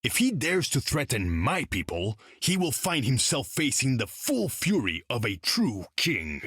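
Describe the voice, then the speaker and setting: A scornful, husky sea king. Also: medium pitch, huge pitch swings, a little slow, fairly bright tone, dry recording, studio quality, exaggerated voice. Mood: haughty. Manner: arrogant, scornful, regal. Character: haughty proud male undersea king.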